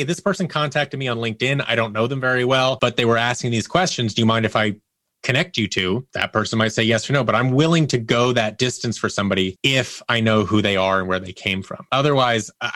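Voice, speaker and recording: Bright-voiced man chatting candidly. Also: medium pitch, no room sound. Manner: candid, emphatic, acts out little exchanges in quotes. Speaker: interview guest, audio producer. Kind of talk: casual interview conversation.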